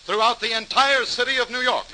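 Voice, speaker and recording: Urgent high-pitched crusading doctor. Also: male voice, a little fast. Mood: resolute. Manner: emphatic, formal, urgent. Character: earnest, determined crusading doctor, a public health reformer. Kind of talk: 1940s radio drama acting. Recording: old radio recording with faint noise.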